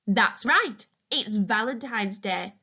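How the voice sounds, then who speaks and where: Female storytime reader for young children: bright, very lively intonation, expressive and deliberate, on a lo-fi home recording. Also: medium pitch, breathy edge. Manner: deliberate, addressing young listeners with questions. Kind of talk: expressive children's picture-book read-aloud.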